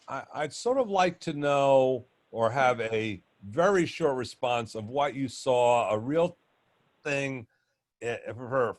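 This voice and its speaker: A man moderating an online panel, talking loudly and chattily with many restarts. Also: mid-range voice. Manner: loud, chatty, rambling with restarts. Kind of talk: panel discussion on video call. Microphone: video call.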